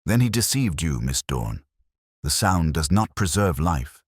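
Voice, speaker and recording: A man voicing a grave, incisive gentleman detective in an audiobook, deliberate and commanding. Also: extremely low pitch, very animated, slightly rough, no room sound, studio quality, full character voice. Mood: grave, stern. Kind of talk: audiobook character acting.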